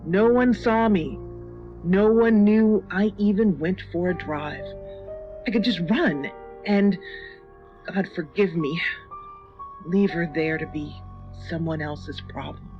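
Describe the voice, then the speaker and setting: Female horror narrator, slow, measured, suspenseful, muffled lo-fi sound, music behind. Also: fairly low pitch. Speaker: story reader. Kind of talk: scripted first-person horror narration. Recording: dull lo-fi audio with music.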